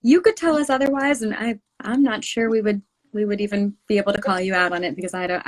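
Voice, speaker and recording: A woman with an ordinary, mid-pitched voice hosts an interview. She asks her questions in a relaxed, friendly way and fills the gaps with short agreeing sounds. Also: low-quality recording. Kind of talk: casual interview chat.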